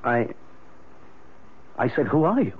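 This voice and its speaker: A troubled, middle-aged American husband in a 1970s radio drama. His halting voice is rough-edged and swings widely in pitch as he repeats himself in confusion. The sound is narrow and phone-like. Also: big pitch swings, exaggerated voice. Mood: dazed, apologetic.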